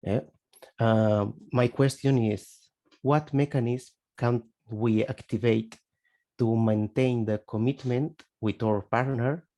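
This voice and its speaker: A man's voice: medium pitch, dark tone. A man from Spain with a Spanish accent, a Spanish native speaker asking a question on a video call, searching for words as he goes. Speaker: webinar participant asking a question. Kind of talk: halting question in a video webinar.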